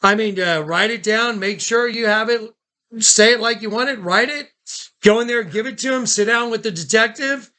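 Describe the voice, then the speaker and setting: A man's voice: sits high, normal speed, pushed and bright. A man talking casually on a recorded phone call, urgent and insistent, often repeating his instructions.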